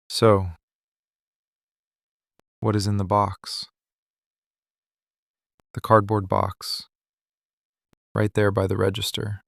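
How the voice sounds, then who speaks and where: Man playing mafia boss, deep-pitched, creaky gravel, possessive, quietly commanding, deliberate delivery, roleplay ASMR drama. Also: no echo, studio quality. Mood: possessive, probing. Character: possessive, menacing mafia boss, protective regular at a bar. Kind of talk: boyfriend ASMR roleplay, scripted dramatic monologue.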